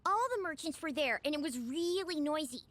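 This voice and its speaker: An actor, a woman, playing an excitable, chatty young child in a video game, eager and bubbly. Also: high voice, a little fast. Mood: excited, cheerful. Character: excitable chatty little child, cheerful informant of a lady. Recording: game audio under prominent music.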